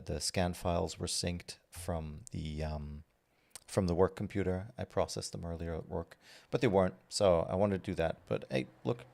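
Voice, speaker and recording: A man, chatting casually on a livestream and answering viewers' questions while he works, relaxed and rambling with lots of fillers and restarts. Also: low voice, vocal fry. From Belgium. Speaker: streamer answering chat.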